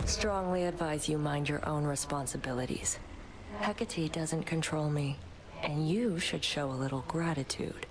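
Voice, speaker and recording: A woman voices a proud, surly warrior from a video game. Her voice is low and her phrases are blunt, clipped and full of contempt. Clear ambient noise lies behind her. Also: moderate pace, natural voice. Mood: scornful, curt. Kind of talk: scripted video game dialogue.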